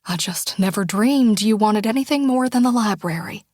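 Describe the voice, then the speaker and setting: A woman's voice: mid-range voice, moderate pace, darkish tone, dry recording, studio quality, close to an everyday voice. Woman reading as a tearful small-town mother. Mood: pleading. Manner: pleading, heartfelt. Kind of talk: audiobook character dialogue.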